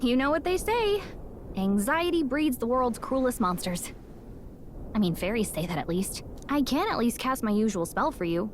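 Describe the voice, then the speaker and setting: A woman voicing a small, spirited fairy guide in a video game, protective and urgent, with brisk, bantering, earnest delivery. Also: on the high side, very animated, a little hiss or hum. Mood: wry, earnest.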